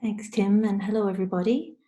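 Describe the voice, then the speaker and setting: A woman moderating an online panel, speaking in a measured way with pauses mid-phrase. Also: medium pitch, steady tone, dark tone. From Scotland or Denmark by descent, living in Australia. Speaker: panel moderator, arts manager. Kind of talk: event introduction and moderation.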